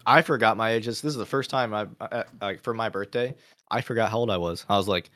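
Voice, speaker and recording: A man's voice: on the low side, quick pace, fairly bright tone. A young man chatting casually as a co-host, playful and teasing. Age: young adult. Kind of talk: casual chat.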